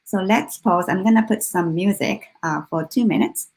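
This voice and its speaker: A woman's voice: medium pitch, moderate pace, vocal fry, darkish tone. Woman casually hosting an online workshop. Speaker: workshop host. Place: online video session.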